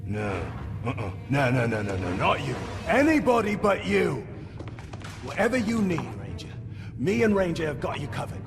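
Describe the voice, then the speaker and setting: A man voicing a chatty, excitable little orc in a video game, with a gravelly, rambling, jokey delivery. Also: a little high, normal speed. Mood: flustered. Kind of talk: video game character acting. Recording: music behind the voice.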